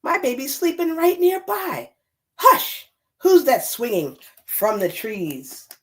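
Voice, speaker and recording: Anxious young-child's mama for a picture book, woman reader: pleading, hushing, sing-song, swooping intonation. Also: high-pitched, wildly swooping pitch, slow pace, voice pushed up, exaggerated voice. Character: anxious mother hushing animals to protect her sleeping baby.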